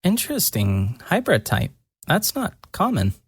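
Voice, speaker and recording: A narrator voicing a soft, higher-pitched young mage woman. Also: huge pitch swings, husky, dry recording, studio quality, exaggerated voice. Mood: earnest, explaining. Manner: soft, murmuring, deliberate. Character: gentle young woman mage, earnest guide, softly teasing. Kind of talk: fantasy audio story character.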